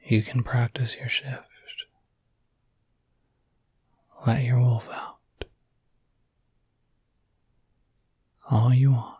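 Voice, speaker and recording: A man playing a gentle, caring young werewolf in an intimate whispered ASMR roleplay, speaking softly and hesitantly, with casual reassurance. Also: mid-range voice, flat delivery, normal speed, dry recording. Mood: soothing. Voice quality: breathy, soft whisper. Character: gentle, caring young male werewolf looking after someone.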